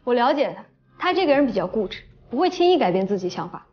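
A cool young office rival who undercuts others. Her speech is quick and lively in pitch, in natural TV drama style, on slightly dull audio. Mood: cool, dismissive. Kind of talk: naturalistic screen drama acting.

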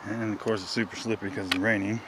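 Low-voiced man musing on a forest hike. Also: fast, very noisy. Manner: relaxed, curious, musing aloud while walking. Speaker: vlogger. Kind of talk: casual hiking narration. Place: wet forest trail outdoors, rain. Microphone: handheld phone.

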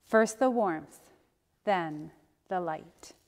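A woman's voice: lowish voice, very slow. Woman reading liturgy in a reverberant hall. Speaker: church worship leader. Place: church. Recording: reverberant hall, faint music.

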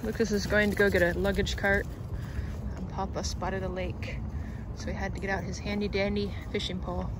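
A woman's voice: medium pitch, level tone, fairly quick. Mom from the states vlogging a road trip on her phone, chatty play by play, lots of car and outdoor noise. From United States. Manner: chatty, narrating each step of the day. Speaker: mother filming the family vlog. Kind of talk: casual running commentary to camera. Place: inside a car, then outdoors in a park by a lake. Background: road noise, wind and outdoor ambience.

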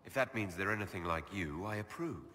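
A man voicing a level-headed, dryly teasing elf leader in a video game, with a husky voice and measured delivery. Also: low voice, expressive, sharp tone, exaggerated voice. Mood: calm, dry. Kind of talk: video game dialogue acting.

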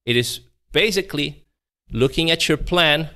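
A man in his thirties presenting a webinar from home over a video call. His voice sits on the high side, and he keeps swinging it between bright, lifted lines and quiet, slowed-down ones to show what he is teaching. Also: animated. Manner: shifts voice energy up and down to demonstrate, conversational. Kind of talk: live online webinar talk. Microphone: video call webcam.

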